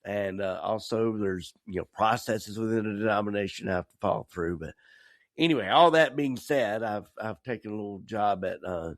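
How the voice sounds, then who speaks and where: A middle-aged American man talking casually as a podcast host, halting and meandering, a bit croupy from a cold. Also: fairly low pitch, no room sound, studio quality. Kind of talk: casual personal podcast monologue.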